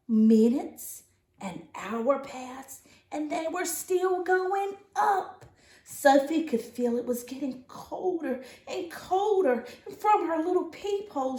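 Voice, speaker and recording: A woman reads a children's story aloud like a teacher to her class. Her voice is fairly high, and she takes her time with deliberate emphasis. The room adds a noticeable echo. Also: a little mellow. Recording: echoey room.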